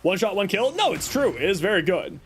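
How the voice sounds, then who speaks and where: Self-deprecating gamer man, voice going hoarse. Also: high-pitched, big pitch swings, a little fast, a little hiss or hum. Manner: self-deprecating, rambling with fillers and restarts. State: losing his voice. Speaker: streamer. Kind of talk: casual live-stream chat answering viewers.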